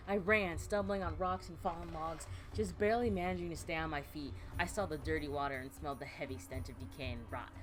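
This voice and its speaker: A woman's voice: deep voice, fairly even intonation, a little fast, music in the background. A woman co-hosting a let's play, switching between casual, sweary banter and theatrical reading of game text. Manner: swearing, playful, theatrical when reading aloud.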